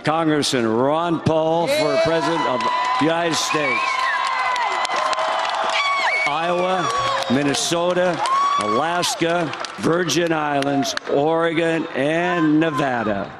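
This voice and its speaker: A man's voice: fairly high pitch, huge pitch swings, slow pace, heavy background noise. A man announcing his delegation's votes in a proud, declamatory roll-call speech. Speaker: state delegation spokesman. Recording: convention floor crowd.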